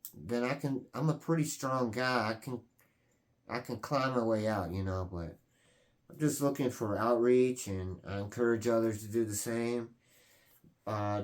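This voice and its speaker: A man's voice: on the low side, a little mellow. A man in his late forties hosting his own video channel, talking casually and earnestly, rambling with many fillers and restarts. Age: 40s. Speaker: channel host. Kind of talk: casual vlog talk.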